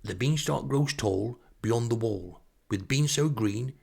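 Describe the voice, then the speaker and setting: A man's voice: mid-range voice, unhurried, gravelly, a little mellow. A man voicing a keen amateur actor who declaims in sing-song rhyme within a comic story. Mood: eager.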